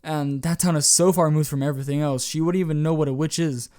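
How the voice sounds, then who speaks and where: A man's voice: a little high, steady tone, fast talker, dark tone, clear, resonant tone, dry recording, studio quality, close to an everyday voice. A man voicing a defensive, curt young vampire son in an amateur roleplay. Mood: guarded.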